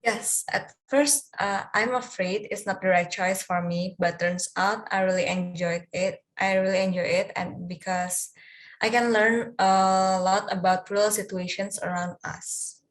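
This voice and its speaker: A woman of twenty, answering questions in a mock English speaking test in measured sentences with occasional fillers. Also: medium pitch, fairly even intonation, rough recording. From Indonesia. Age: 20s. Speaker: test candidate, peer examiner.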